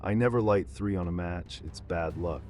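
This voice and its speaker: A man's voice: sits low, moderate pace, a little mellow, soft background music, lightly acted. A man playing a ruthless, swaggering gangster boss with tough mobster talk in a comic dub. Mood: irritated, boastful. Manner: tough-talking mobster delivery. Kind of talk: motion comic dub of 1940s gangster story.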